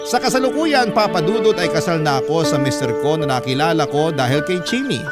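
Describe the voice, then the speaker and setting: A fairly high-voiced man with a Filipino accent narrates a letter in a dramatic radio host's style. Loud music plays behind the scripted reading. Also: animated, brisk. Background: loud music bed.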